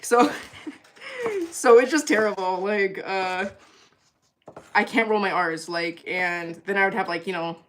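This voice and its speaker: A woman's voice: mid-range voice, lively intonation, measured pace, long pauses, a little hiss or hum. A young woman hosting a livestream, chatting casually and giggling while she cooks and answers viewer comments. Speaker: livestream cooking host.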